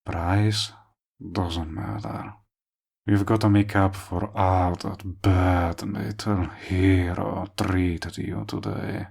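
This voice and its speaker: A man playing a smug but tender villain in love, speaking intimately to the listener in a romantic audio roleplay, with a low, husky voice. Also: darkish tone, heavily acted. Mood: pleased, courting. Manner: slow, murmuring, teasing. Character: lovestruck male villain, smug yet tender, persistent suitor. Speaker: voice actor.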